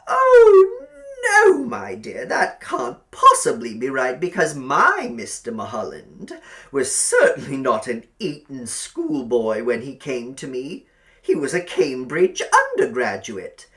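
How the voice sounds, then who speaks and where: A man voicing a sweet, eerily doting middle-aged landlady in an audiobook, fussy and gushing, with drawn-out emphasis. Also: medium pitch, huge pitch swings, fairly bright tone, exaggerated voice. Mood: gently insistent. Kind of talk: audiobook character acting.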